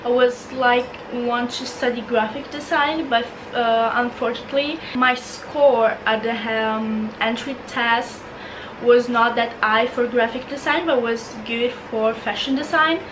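Young Italian woman, chatty and high-voiced. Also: fairly even intonation, a little slow, background noise. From Italy. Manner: chatty, restarts and repeats words, non-native phrasing. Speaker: vlogger. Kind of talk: casual Q&A chat. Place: bedroom, indoors.

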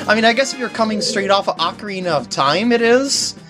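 Animated man doing jokey game commentary. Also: sits high, quick pace. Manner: jokey, rambling, lapses into silly voices for characters. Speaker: video game walkthrough commentator. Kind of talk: casual gameplay commentary. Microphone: voice recorded on a compact camera's microphone. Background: video game music.

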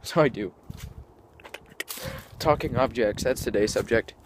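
A man's voice: mid-range voice, measured pace, background noise. A teenage boy with a nasal, congested voice, rambling jokily in a selfie vlog recorded on a phone at arm's length outdoors. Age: teens. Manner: rambling, self-mocking, jokey. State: congested sinuses. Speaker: vlogger. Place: outdoors in cold woods, then indoors.

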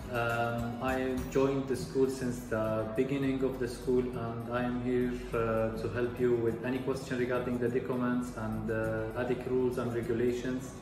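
A man in his thirties speaks politely with a flat, even delivery and some hesitation. He sounds distant in a very echoey space with a long reverb. Music plays clearly underneath. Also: mid-range voice, a little slow, hardly any pauses. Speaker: public relations officer. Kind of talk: self-introduction to camera. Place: school office.

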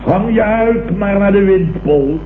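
A blustering Dutch building contractor in an old radio comedy, grumbles sarcastically and full of self-importance. His voice sits a little high, on a thin, echoey recording. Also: unhurried. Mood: sarcastic, annoyed. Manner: grumbling, ranting, sarcastic, colloquial. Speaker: actor in a radio comedy serial. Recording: tinny, hissy old radio recording, roomy.

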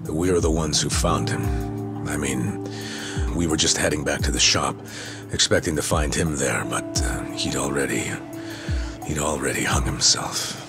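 An actor playing an earnest, gruff middle-aged man in a video game, speaking hesitantly with a gravelly voice. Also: rumbling low, moderate pace. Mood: somber. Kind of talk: English video game cutscene dub. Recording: music behind the voice.

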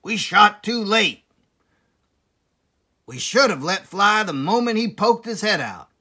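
An angry farmer villain from a children's storybook, acted out by a male reader. He blusters and exclaims with loud emphasis. The voice is raised in pitch, forceful and full of swings. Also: big pitch swings, slow, heavily acted. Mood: angry, frustrated.

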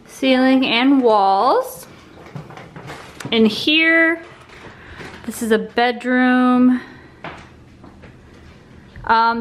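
Slow-talking woman on a relaxed home tour. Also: on the high side, a little hiss or hum. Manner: relaxed, rambling, with restarts and trailing phrases. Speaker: vlogger giving a home tour. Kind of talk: casual walkthrough narration. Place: indoors, empty rooms of a house under renovation. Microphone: handheld phone camera.

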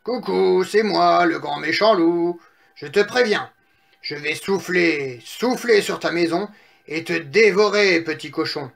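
A big bad wolf from a storybook, acted out by a man reading aloud. He is hungry and full of himself, and he draws his threats out slowly and menacingly. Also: high-pitched, creaky, a bit bright, faint music behind, full character voice. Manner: slow, drawn-out threats. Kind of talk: children's storybook character voice.

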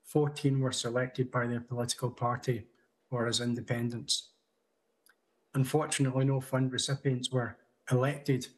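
Husky man speaking over a video call. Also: medium pitch, flat delivery, low-quality recording. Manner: measured, reads from notes with small restarts. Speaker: conference speaker. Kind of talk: prepared talk. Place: home room indoors. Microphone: video call webcam.

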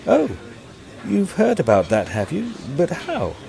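An actor with a British accent playing a peevish, smitten Edwardian gentleman ghost hunter, narrating formally in an audio drama. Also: high voice, wildly swooping pitch, a little slow, creaky, slight background noise, full character voice. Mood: flustered, peeved. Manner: formal, stiffly proper, fussy.

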